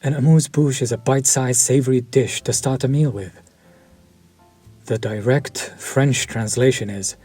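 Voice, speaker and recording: A man voicing a suave, courteous vampire chef who speaks formally and softly, sometimes near a whisper. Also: medium pitch, breathy edge. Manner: slow, formal and soft-spoken, dropping to near-whispers. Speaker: voice actor. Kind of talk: audio roleplay to the listener.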